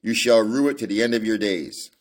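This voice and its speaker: A man's voice: lowish voice, moderate pace, lightly acted. A man reading aloud and voicing a pompous young male suitor who boasts and preaches. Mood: smug.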